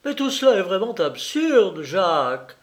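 A man putting on a furious young actress from a storybook, her voice lifted high and trembling with indignation. The lines come out sharp and deliberate, as if bitten off. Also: lively intonation.

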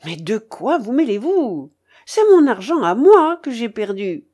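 A woman voicing an imperious, quick-tempered old grandmother in an audiobook, blunt and scolding. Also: a little high, very animated, darkish tone. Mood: furious, scornful. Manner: commanding, exclaiming, scolding. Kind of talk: audiobook character voice.

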